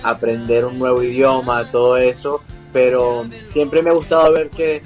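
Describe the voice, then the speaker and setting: Young Venezuelan male singer, remote guest, hesitant and filler-heavy, soft music under him. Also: mid-range voice, flat delivery, moderate pace. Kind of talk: interview over a video call. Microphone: video call.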